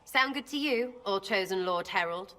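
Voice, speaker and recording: A woman's voice: mid-range voice, animated, normal speed, pushed and bright, rough recording. An actress playing a cheeky, blunt young female rogue archer in a video game, talking in choppy, slangy, irreverent bursts. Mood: flippant, blunt. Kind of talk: video game dialogue acting.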